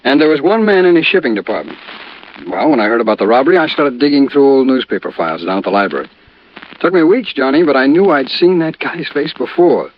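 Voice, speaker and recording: An actor playing a genial doctor turned amateur detective in a 1950s radio drama, chatty and a little hesitant, with an American accent. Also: male voice, medium pitch, lively intonation, fast, close to an everyday voice. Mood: proud, explaining. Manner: chummy, hesitant, conversational. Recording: muffled, noisy vintage radio recording.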